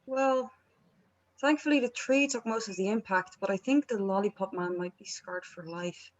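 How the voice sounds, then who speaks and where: A woman's voice: medium pitch, normal speed, highs cut off, natural voice. A woman playing a patient, placating band manager in a script read. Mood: matter-of-fact.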